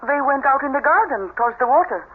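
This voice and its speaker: Casting: deferential housemaid, high halting voice, narrow vintage radio sound. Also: female voice, expressive. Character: deferential, uneasy Spanish-speaking housemaid. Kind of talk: 1970s radio drama acting. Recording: thin, noisy vintage radio recording.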